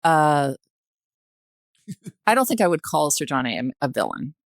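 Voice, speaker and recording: Deep-voiced older Canadian woman, halting. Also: sing-song, no room sound, clean studio sound. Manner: halting, thinking aloud, rephrasing as she goes. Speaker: podcast guest, retired journalist. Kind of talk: casual discussion.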